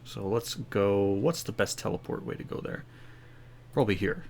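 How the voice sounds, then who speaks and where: A man's voice: fairly low pitch, moderate pace, gravelly, darkish tone, a little hiss or hum. A man giving casual, chatty commentary as he streams a game playthrough, in a laid-back manner. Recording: faint game sound behind voice.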